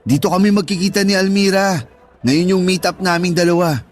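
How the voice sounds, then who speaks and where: A man's voice: sits high, fairly even intonation, fairly quick, soft background music, close to an everyday voice. A man playing an earnest, lovestruck young man in a Tagalog radio drama, speaking conversationally. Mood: friendly. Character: earnest lovestruck young man waiting for his online girlfriend.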